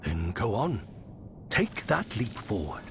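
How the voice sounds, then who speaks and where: An actor playing a sly shapeshifting deity posing as a wandering bard in a video game, speaking in a theatrical, cryptic, teasing manner. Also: male voice, on the low side, big pitch swings, slow pace, music in the background, full character voice. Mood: knowing, cryptic. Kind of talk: scripted video game dialogue acting.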